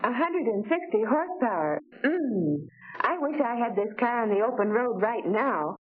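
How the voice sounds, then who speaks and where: A woman's voice: on the high side, very animated, normal speed, slight background noise. An actress playing a well-bred, admiring lady car shopper, genteel and carefully enunciated, in 1940s promotional film style. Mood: wistful. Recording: tinny 1940 film soundtrack.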